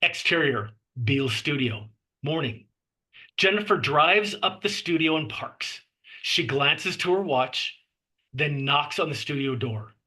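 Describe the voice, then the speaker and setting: A man's voice: fairly high pitch, animated, a little slow, sharp tone, low-quality recording. A man reading screenplay action lines and scene headings as narrator at a table read. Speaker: stage directions reader.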